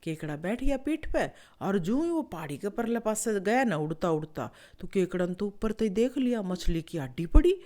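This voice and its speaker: A woman's voice: medium pitch, big pitch swings, normal speed, hardly any pauses, mellow. A woman with a Haryanvi accent telling an animal fable in a conversational, folksy way, repeating phrases for effect. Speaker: storyteller, show host.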